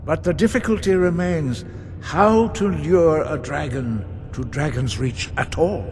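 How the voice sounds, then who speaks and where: An actor playing a solemn elder mediator in a fantasy game, formal and measured. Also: male voice, fairly high pitch, darkish tone, a little hiss or hum, lightly acted. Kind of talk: fantasy video game voice acting. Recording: long hall reverb, faint music.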